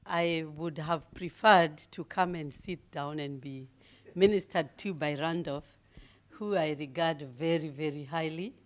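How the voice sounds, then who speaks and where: Woman, middle-aged, deep lowish voice, guest preacher, humble, booming scripture reading. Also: unhurried. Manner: grows loud and emphatic when reading scripture; humble, laughs easily. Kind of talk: sermon.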